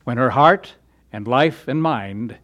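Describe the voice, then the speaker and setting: A man's voice: mid-range voice, animated, a bit bright, muffled recording. Formal male radio announcer. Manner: measured, formal delivery.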